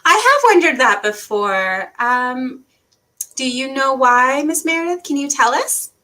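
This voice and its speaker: Woman, high and bright voice, librarian sidekick for kids' read-aloud, keen and agreeable in conversation. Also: expressive, a little slow. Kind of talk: children's storytime chat.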